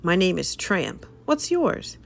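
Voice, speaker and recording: A woman voicing a scruffy, streetwise male stray dog in a children's storybook, husky, breezy and knowing. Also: fairly low pitch, sing-song, takes it slowly, voice pushed up, full character voice. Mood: breezy, cocky.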